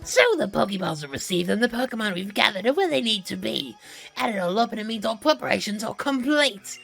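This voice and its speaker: A woman voicing a composed, condescending villain lieutenant from a video game, measured and coolly formal. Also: mid-range voice, animated, gravelly, soft background music. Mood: cool, scheming. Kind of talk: video game character reel.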